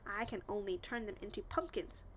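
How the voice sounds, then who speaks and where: A woman's voice: mid-range voice, steady tone, a little fast, background noise, natural voice. A woman voicing a confident, helpful young fairy girl in a storybook. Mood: showing off magic.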